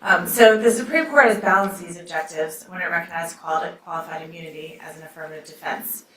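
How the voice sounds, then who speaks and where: A soft-spoken woman judge addresses a lecture hall, pausing on ums as she sets out a legal doctrine. Her voice is bright and somewhat low. The sound is echoey and picked up from a distance. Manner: soft-spoken, many ums, reads aloud from a ruling. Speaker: judge and debate moderator. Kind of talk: opening remarks at a law school debate.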